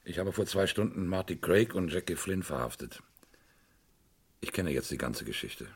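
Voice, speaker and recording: Crime radio play: wry, shrewd police inspector, husky low voice, teasing and superior. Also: male voice, animated, moderate pace, heavily acted. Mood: grave, insistent. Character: shrewd, sardonic male police inspector, breezily condescending to suspects.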